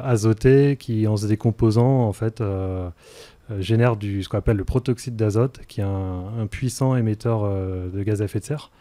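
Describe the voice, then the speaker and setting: A man in his forties with a rather low, relaxed voice, answering as a radio guest. He explains things haltingly, pausing on fillers as he searches for the next phrase. The sound is close and dry, from a studio microphone. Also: dry recording. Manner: hesitant, halting, frequent fillers. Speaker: interview guest, energy transition project officer.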